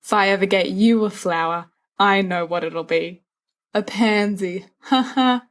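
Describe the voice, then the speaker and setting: A woman's voice: mid-range voice, a little slow, close to an everyday voice. A woman voicing a petulant teenage schoolboy who bickers and mocks in a story. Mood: mocking.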